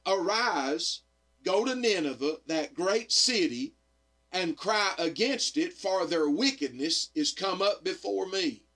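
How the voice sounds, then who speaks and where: Slightly hoarse preacher man. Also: high voice, lively intonation, slow, bright, forceful tone. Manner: earnest preaching with frequent "amen" and "uh". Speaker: radio evangelist and pastor. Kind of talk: radio sermon.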